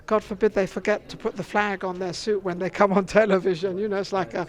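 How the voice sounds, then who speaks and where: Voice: mid-range voice, level tone, quick pace. Teasing talkative red carpet host. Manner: talkative and teasing, jokes with guests. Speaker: host and interviewer. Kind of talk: chatty red carpet interview. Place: indoor red carpet event.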